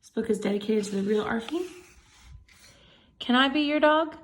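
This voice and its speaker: A woman with a rather high-pitched voice reads a children's book aloud. Her delivery is expressive and chatty, with sympathetic, affectionate asides to the listener. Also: moderate pace, a little hiss or hum, rough recording. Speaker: storyteller reading a picture book aloud.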